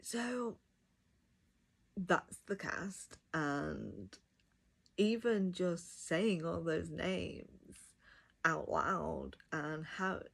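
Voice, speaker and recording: A woman's voice: fairly low pitch, animated, very slow, gravelly, long pauses, a bit bright, breathy edge. A woman giving a casual, enthusiastic review, talking directly to viewers. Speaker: audiobook reviewer.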